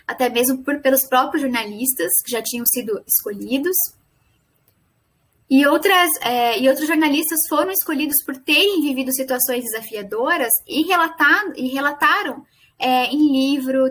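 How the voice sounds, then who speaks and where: Female student presenter, young, Brazilian accent, high register, explanatory delivery with self-corrections. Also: fairly bright tone. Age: young adult. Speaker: student presenting a final project. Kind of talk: academic presentation.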